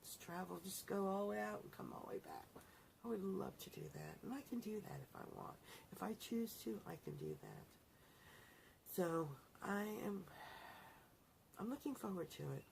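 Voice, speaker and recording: A woman's voice: mid-range voice, sing-song, normal speed, vocal fry, a little mellow, a little hiss or hum. A middle-aged woman vlogger chatting casually to her viewers in a rambling, self-correcting way. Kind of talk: casual talk to camera.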